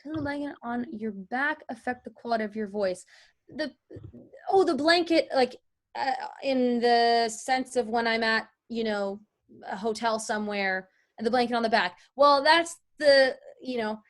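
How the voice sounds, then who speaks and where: A woman's voice: a little high, normal speed. A woman teaching an informal online lesson, explaining and answering questions in a conversational way. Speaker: voice-over artist teaching a lesson.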